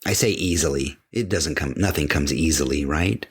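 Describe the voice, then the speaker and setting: A man's voice: rumbling low, normal speed, breathy edge. A middle-aged man hosting a podcast, giving an emphatic motivational talk that repeats phrases for weight and acts out the voices of imagined people. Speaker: podcast host. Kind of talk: motivational monologue.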